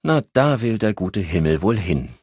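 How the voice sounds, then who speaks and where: A man's voice: fairly low pitch, big pitch swings, normal speed, darkish tone, breathy edge, no echo, clean studio sound, pitched above the performer's own voice. A man doing a sarcastic, cynical colleague.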